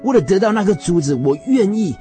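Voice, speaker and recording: A man playing an earnest, obsessed husband in a radio drama, moving theatrically between hushed reflection and fervent declaration. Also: high-pitched, very animated, brisk, soft background music. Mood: elated, fervent. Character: earnest, obsessed husband yearning for a precious pearl.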